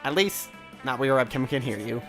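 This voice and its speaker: Fast deadpan game narrator. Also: mid-range voice, soft background music. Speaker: let's play narrator. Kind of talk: reading game text aloud.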